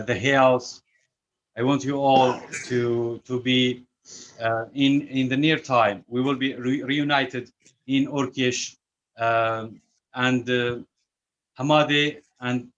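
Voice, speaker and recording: Slow hesitant man on a muffled call. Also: medium pitch, a bit bright. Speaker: local site worker. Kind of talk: video call remarks. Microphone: video call. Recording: dull lo-fi call audio.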